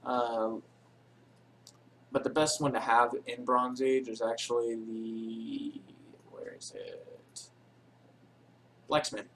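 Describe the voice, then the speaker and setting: A man talks through a game tutorial in an offhand, unscripted way, his pitch sitting in the middle of the usual range. He wanders between points, corrects himself and fills gaps with ums, and calls his own voice boring. A faint hiss sits under his desktop mic. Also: fairly even intonation, takes it slowly, creaky, pauses often, a bit bright, low-quality recording. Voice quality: says he has a boring voice. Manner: rambling, unscripted, many ums and self-corrections. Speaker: game tutorial channel host. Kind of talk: casual screen-recorded game tutorial.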